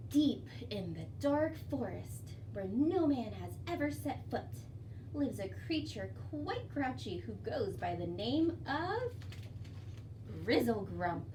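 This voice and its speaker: High, animated woman leading children's storytime. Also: slow. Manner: sing-song, expressive, addressing young children. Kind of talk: children's storytime with songs, rhymes and read-aloud. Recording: distant mic, faint background noise.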